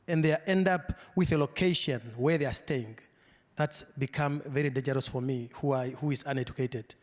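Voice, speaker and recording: A man in the audience speaking up at a panel discussion, halting and full of restarts. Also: a little high, a little mellow. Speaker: audience member. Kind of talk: question from the floor. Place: large hall. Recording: long hall reverb.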